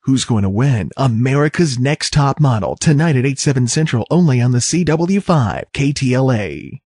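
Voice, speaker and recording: Animated male TV promo announcer, slightly husky. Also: medium pitch, normal speed, no room sound. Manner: punchy, polished broadcast delivery. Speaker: television promo voice-over announcer. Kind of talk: scripted TV promo narration, announcer read. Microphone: close studio voice-over microphone.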